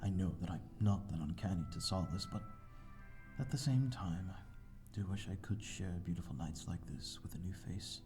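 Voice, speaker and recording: A man's voice: sits low, moderate pace, a little mellow, soft background music, lightly acted. A man playing a lonely, refined vampire lord with formal, wistful speech in an ASMR audio drama. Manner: formal, wistful, archaic wording.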